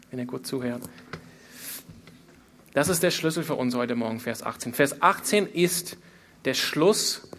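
A man's voice: a little high, fairly bright tone. A man preaching a sermon, speaking earnestly with emphatic repetition and rhetorical questions. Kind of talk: sermon, expository Bible preaching.

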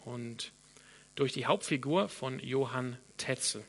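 A man from Australia preaches in German, his first language English still clear in the accent. His voice has a bright, gravelly edge. He thinks aloud as he goes, doubling back on words and restarting sentences. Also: mid-range voice, slow. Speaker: pastor. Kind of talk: sermon.

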